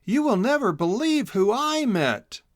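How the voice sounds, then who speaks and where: A man voicing a young, excitable male hedgehog with a high-pitched voice in a children's storybook. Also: measured pace, dry recording, clean studio sound, heavily acted. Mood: excited. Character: young cheerful male hedgehog singing and exclaiming.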